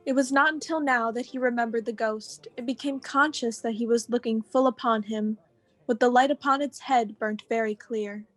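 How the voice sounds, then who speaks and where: A woman's voice: fairly high pitch, fairly even intonation, moderate pace, dry recording, soft background music, natural voice. A teenage girl giving scripted storybook narration for a radio play. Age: teens.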